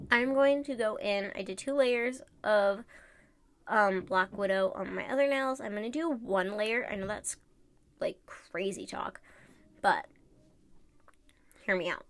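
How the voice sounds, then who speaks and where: A woman's voice: medium pitch, slow, pauses often. Congested, nasal chatty woman. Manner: chatty, rambling with fillers. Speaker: nail tutorial vlogger. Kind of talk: casual voice-over tutorial.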